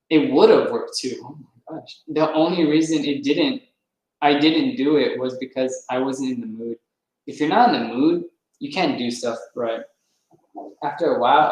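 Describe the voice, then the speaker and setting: A man reading a novel aloud, now and then stumbling over words and correcting himself. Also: medium pitch. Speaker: reader. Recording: roomy echo.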